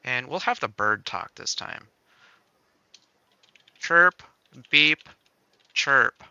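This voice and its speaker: A man hosting a livestream, casually walking through game-making software step by step, thinking aloud in a playful way. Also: medium pitch, animated, extremely slow, clear, resonant tone, no room sound, highs cut off.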